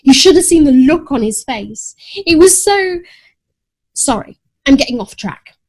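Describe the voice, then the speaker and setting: A woman's voice: sits high, measured pace, pitched above the performer's own voice. Woman playing a prim, indignant storybook cat. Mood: haughty indignation. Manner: expressive, haughty, indignant. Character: prim, dramatic, easily offended pet cat. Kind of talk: first-person children's story narration.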